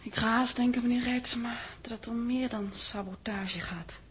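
Highish-voiced uneasy young secretary played by an actress. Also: female voice, steady tone, moderate pace, close to an everyday voice. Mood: uneasy, musing. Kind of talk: 1960s radio drama acting. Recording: vintage radio recording with faint noise.

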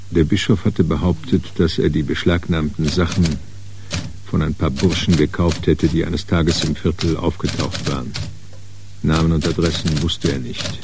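A man acting as the measured narrator of a hard-boiled crime radio play. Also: a bass voice, vocal fry, noisy background, exaggerated voice. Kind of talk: scripted narration in a radio drama.

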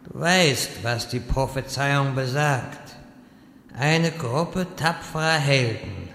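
A man playing a solemn but politely chatty captive wizard in a comic fantasy audio drama, with measured deadpan delivery. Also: mid-range voice, vocal fry. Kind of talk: German audio drama parody. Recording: echoey hall reverb, faint noise.

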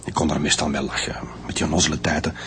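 Deep-voiced Flemish confessing murderer. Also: male voice, flat delivery, racing, a bit bright, slight background noise. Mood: bitter confession. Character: male guest lecturer turned murderer, confessing, articulate and bitter. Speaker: actor. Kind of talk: radio drama acting. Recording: music behind the voice.

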